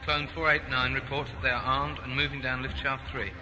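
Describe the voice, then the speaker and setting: A man playing a flustered, obedient underling in a science-fiction audio drama, giving hurried, deferential reports. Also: medium pitch, level tone, loud music behind the voice, lightly acted. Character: flustered, obedient male underling in a control room.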